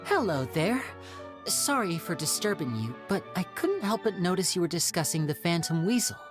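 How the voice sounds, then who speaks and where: A suave young man from a video game. He talks courteously with lively, expressive intonation, and soft music sits behind him. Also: high voice, normal speed. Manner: courteous, smooth-talking. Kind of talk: video game character acting.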